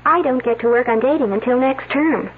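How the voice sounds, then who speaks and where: A woman's voice: high voice, a little fast, breathy edge. An actress playing a flippant young female student who answers in an offhand, dismissive way, in a radio drama. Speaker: student at a dig. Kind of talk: 1970s radio drama acting. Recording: tinny old radio broadcast.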